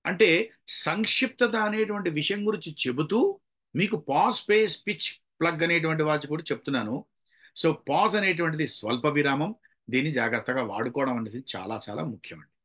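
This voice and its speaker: A man's voice: a little high, brisk, fairly bright tone. A man from India, giving an instructional talk on delivery as a trainer, explaining step by step in an emphatic, demonstrative way. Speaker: public speaking trainer. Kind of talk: instructional talk with acted-out examples.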